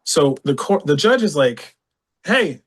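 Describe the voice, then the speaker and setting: A man from California, a podcast guest, talks through his own past in a lively way, laughing often and acting out other people's words. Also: a little high, slow, slightly rough. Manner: animated, laughs often, reenacts voices. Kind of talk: candid personal storytelling.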